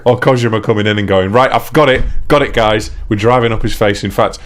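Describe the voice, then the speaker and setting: A man's voice: fairly low pitch, fairly even intonation, fast talker. A man giving casual, bantering commentary on a video game. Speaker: let's play commentator.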